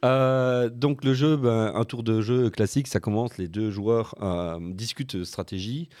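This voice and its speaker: A man in his forties explaining board games on a casual podcast, in a hesitant way with many filled pauses. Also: mid-range voice, a little mellow, dry recording. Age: 40s. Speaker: board game podcast panelist.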